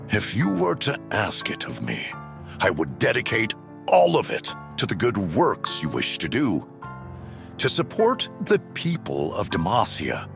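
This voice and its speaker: A man playing an earnest young noble suitor in an audio drama, speaking gently and formally. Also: fairly low pitch, wildly swooping pitch, unhurried, vocal fry, heavily acted. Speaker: voice actor. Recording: over soft background music.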